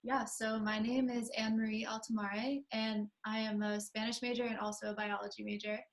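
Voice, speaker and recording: A young woman from New York explains her thesis in a flat, even voice. She talks casually, hedging and filling as she goes. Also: on the high side, normal speed. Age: young adult. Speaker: university student.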